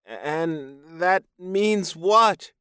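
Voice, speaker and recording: A frightened captive young in temper and quick to bristle, performed by a male narrator. The voice jumps high and squeaky, gasping with disbelief and indignation. It is an audiobook character in a dry, close sound. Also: a bit bright, no room sound. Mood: alarmed disbelief. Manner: incredulous, vehement.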